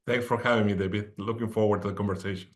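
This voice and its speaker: Middle-aged man, lowish voice, wry and self-mocking guest. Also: fairly even intonation, rapid-fire. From Mexico. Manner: self-deprecating, wry humor. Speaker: podcast guest. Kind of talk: conversational interview storytelling.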